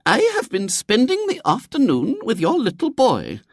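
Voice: medium pitch, wildly swooping pitch, normal speed, no room sound, clean studio sound, voice pushed up. A storyteller voicing a prim elderly lady in an audiobook, earnest, preachy and fussily sympathetic. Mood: righteous reproach.

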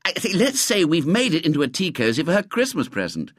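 A polite, well-spoken schoolboy from a children's storybook, eager with an idea. A man voices him a little higher than his own voice. The read is dry and close. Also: huge pitch swings, fast talker, a bit bright, no room sound, full character voice. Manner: eager, suggesting.

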